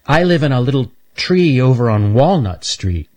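A man's voice: mid-range voice, very animated, moderate pace, a little mellow, voice pushed up, natural voice. A man voicing a shy young male squirrel who stutters hard on the first sounds of words.

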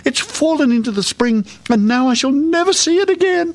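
A young fairy-tale princess, impulsive and dramatic. The voice is pitched very high and swoops about as it gushes and exclaims. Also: male voice, wildly swooping pitch, normal speed, no room sound. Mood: distressed wailing. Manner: exclaiming, gushing.

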